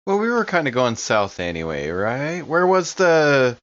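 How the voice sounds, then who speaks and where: A man's voice: medium pitch, sing-song, measured pace, fairly bright tone, no room sound, muffled recording. A man playing a tabletop roleplaying game, casually improvising narration and searching for his words. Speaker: player in an actual-play podcast.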